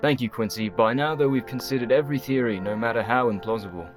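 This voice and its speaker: An earnest gentleman physician acted by a man with a mid-range voice, sensible and weary. He phrases things formally, in the manner of radio drama, with music clearly audible behind. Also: mid-range voice, fast, natural voice. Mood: sceptical. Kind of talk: radio play acting.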